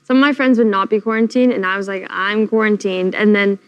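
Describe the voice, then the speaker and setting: A woman's voice: medium pitch, a little fast, clear, resonant tone, dull audio. A teenage girl on a panel telling a story animatedly, acting out quoted asides. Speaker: panel guest. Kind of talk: casual chat.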